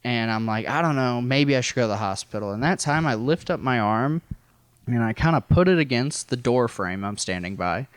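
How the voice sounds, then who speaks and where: American guy swapping a funny story on a podcast, sweary n self-deprecating, mid pitch, really dry sound. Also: lively intonation, normal speed, smooth, no room sound. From rural Missouri, United States. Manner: wry, self-deprecating, profane. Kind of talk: casual comedic personal storytelling.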